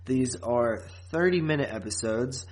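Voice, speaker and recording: A man's voice: mid-range voice, measured pace. A man co-hosting a podcast in a casual, informal review chat, with a joking, teasing manner. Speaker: podcast co-host.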